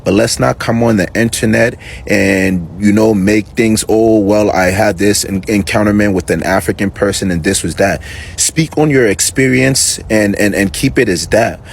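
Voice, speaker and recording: A man's voice: fairly low pitch, normal speed, gravelly, slight background noise. A man born in Liberia and raised in New York City, giving earnest commentary to camera, repeating himself and pleading. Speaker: reaction video host.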